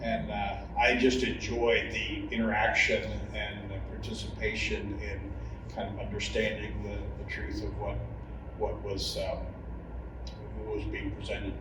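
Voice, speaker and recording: Halting man in a noisy, echoey room. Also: fairly low pitch, monotone, recorded from a distance. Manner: halting, pausing often mid-phrase. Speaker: longtime church member being interviewed. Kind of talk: hesitant spoken recollection in a group interview.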